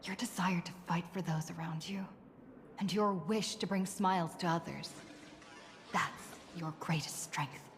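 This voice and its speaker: A woman voicing a calm, kind young heroine in a video game, sincere and measured. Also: lowish voice, a little hiss or hum, lightly acted. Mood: sincere, encouraging. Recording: long reverb, music behind.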